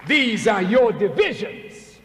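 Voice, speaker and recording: Male orator, very high, bright and piercing, shouts slow repeated lines to a crowd; echoey hall, faint crowd. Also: very animated, slight background noise. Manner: shouting, emphatic, repetitive call-and-response. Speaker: speaker addressing students. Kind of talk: fiery preaching speech. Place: university lecture hall with audience.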